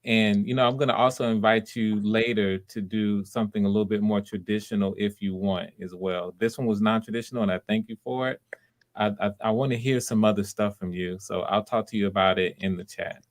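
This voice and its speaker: A man's voice: on the low side, fairly even intonation, brisk. A man casually hosting live-stream auditions as casting director, speaking in an encouraging way. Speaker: casting host.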